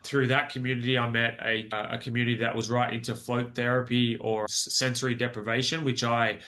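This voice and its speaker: Australian man in his forties, bright tone. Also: mid-range voice, level tone, brisk. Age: 40s. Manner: earnest, rambling with many restarts. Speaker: guest. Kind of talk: podcast interview, personal story.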